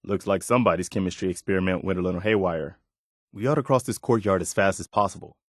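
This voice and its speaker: An earnest wasteland militia lieutenant with a lowish male voice, recorded close and dry. He speaks plainly and with principle, like a dutiful soldier in a video game. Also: fast talker, dry recording. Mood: calm, wry. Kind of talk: video game character dialogue.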